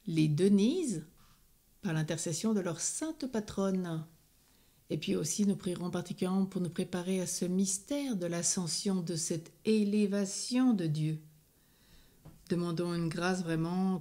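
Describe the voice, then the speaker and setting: A woman leads a rosary in a slow, steady, prayerful recitation. Her voice sits a little low and sounds soft and mellow. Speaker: prayer leader.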